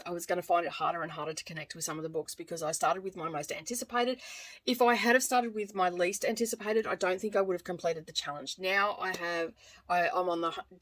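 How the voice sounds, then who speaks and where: An Australian woman gives a casual book review in a fairly low voice. She speaks informally and rambles a little, circling back to correct herself. It sounds like a vlogger chatting to her viewers.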